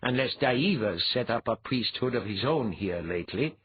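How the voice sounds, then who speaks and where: A wry, seasoned mentor in an audiobook tale, played by a male narrator. His delivery is dry and commanding, with a very husky tone and animated pitch. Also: moderate pace, no room sound, muffled recording. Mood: dry, calm. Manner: dry, unruffled, instructive.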